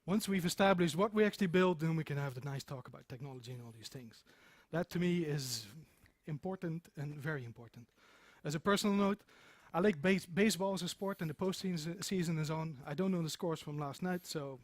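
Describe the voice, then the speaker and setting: Guy presenting at a tech talk, middle aged, highish voice, emphatic, close dry sound. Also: fairly quick, few pauses, no echo. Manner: emphatic, repeats phrases for stress. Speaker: conference speaker, software developer. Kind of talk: informal conference presentation. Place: meeting room with seated audience, indoors.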